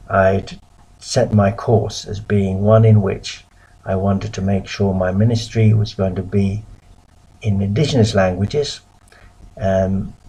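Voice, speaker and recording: A middle-aged man from the UK giving a recorded lecture as a missionary, measured and pausing often. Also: lowish voice, dark tone, slightly rough, rough recording. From United Kingdom.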